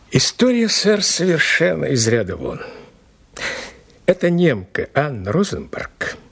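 Animated, husky police inspector telling a case. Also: male voice, a little high, exaggerated voice. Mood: confiding, respectful. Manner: animated, respectful, emphatic storyteller. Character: deferential police inspector eagerly recounting a mysterious case. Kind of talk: audio play acting, dramatic storytelling.

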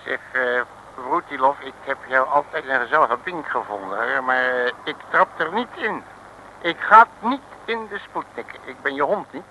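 A man's voice: medium pitch, expressive, normal speed, pushed and bright, rough recording. An actor playing a cheeky, blustering, streetwise male detective in an old comic radio serial, slangy and jokey. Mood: defiant. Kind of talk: 1950s-60s comic radio serial acting. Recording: hissy, thin old radio recording.

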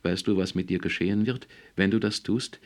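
Narrator doing a stern academic husband, deep creaky voice, strained and barely holding it together, audiobook. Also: male voice, animated, moderate pace, a bit bright, exaggerated voice. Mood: agitated anger. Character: distraught male historian, stern husband barely keeping control.

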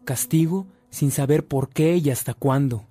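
A man giving formal, measured scripted narration for a radio drama. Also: on the high side, warm tone, dry recording.

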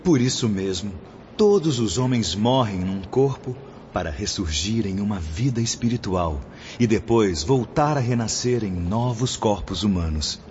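An actor playing a charismatic, solemn spiritual teacher in a radio drama, preaching formally and eloquently with a Brazilian accent. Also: male voice, lowish voice, lively intonation, a little slow, a little mellow. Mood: stern, impassioned. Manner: preachy, formal, oratorical delivery. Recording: dull lo-fi audio with background noise.

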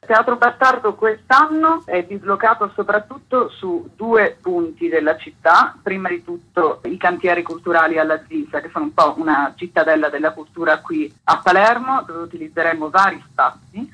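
A woman's voice: fairly low pitch, normal speed, hardly any pauses, sharp tone, breathy edge, poor audio. A woman being interviewed on the radio as a festival's artistic director, explaining things in an articulate way.

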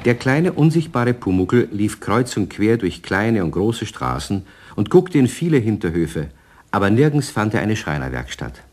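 Deep-voiced, animated male storyteller. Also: normal speed. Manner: colloquial, expressive storyteller. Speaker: narrator. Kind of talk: storytelling narration for children's radio play.

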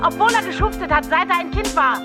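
A woman's voice: extremely high pitch, fast talker. An actress playing an indignant, insistent leftist phone-in guest from East Germany in a radio play, talking over the host with music behind her. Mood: scornful.

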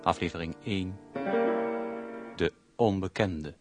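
A man's voice: sits low, measured pace. A man reading a scripted announcement that introduces a radio play. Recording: loud piano music behind. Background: piano music.

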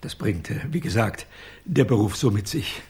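Shabby older detective, radio drama, very rough husky voice, obsequious, courtly, halting. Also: male voice, fairly low pitch, lively intonation, darkish tone. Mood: probing, servile. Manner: courtly, servile, hesitant. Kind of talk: German radio crime drama acting.

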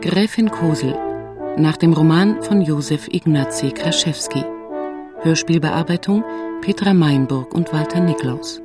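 A woman's voice: sits low, measured pace. A woman announcer reading scripted radio drama credits in a formal way. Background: brass music.

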